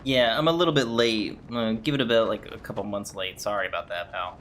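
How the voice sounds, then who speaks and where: A man's voice: fairly low pitch, fairly quick, fairly bright tone, slight background noise. A man giving casual, laid-back let's play commentary as a gaming streamer. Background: game music.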